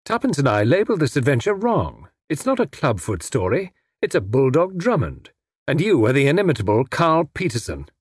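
Storybook hero, male reader in role: young English detective, high-pitched, cheerfully cheeky, unruffled, very animated. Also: fairly quick, husky, dry recording, studio quality, exaggerated voice. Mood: teasing. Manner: breezy, teasing, unruffled.

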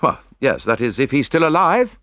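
A man voicing a gloomy, pessimistic tall police detective in an audiobook mystery, speaking in a measured way. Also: medium pitch, sing-song, a bit bright, no room sound, clean studio sound, pitched above the performer's own voice.

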